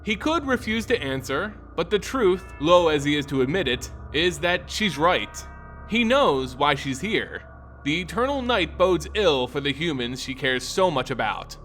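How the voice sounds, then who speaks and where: Man, slightly high voice, expressive scripted fantasy narration, theatrical storyteller, soft music underneath. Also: measured pace. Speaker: lore storyteller and channel host.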